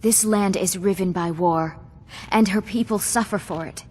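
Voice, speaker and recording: Actress as young widowed jarl, dignified, solemn, bitter, husky breathy tone, fantasy game, long hall reverb. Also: female voice, mid-range voice, normal speed, a little mellow, lightly acted. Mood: solemn, resolute. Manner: formal, regal, solemn. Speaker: video game character. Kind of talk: scripted game dialogue.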